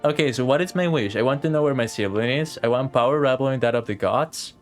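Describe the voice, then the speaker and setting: A man's voice: mid-range voice, fast, faint music behind. A man giving casual live gameplay commentary as a streamer, chatty, wry and sceptical. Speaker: game streamer.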